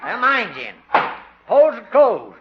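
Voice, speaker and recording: An actor playing an officious but joking small-town lodge official in a radio comedy, ceremonious and folksy. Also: male voice, very high-pitched, sing-song, slow, heavily acted. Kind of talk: 1950s radio comedy acting. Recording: noisy, dull 1950 radio recording.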